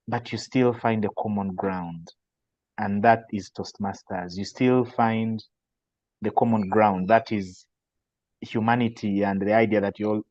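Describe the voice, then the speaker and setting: A man's voice: fairly low pitch, darkish tone, dry recording. Earnest Ugandan man, dry lo-fi call. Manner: earnest, hesitant restarts. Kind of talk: casual reflective talk. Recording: dry, dull lo-fi call audio.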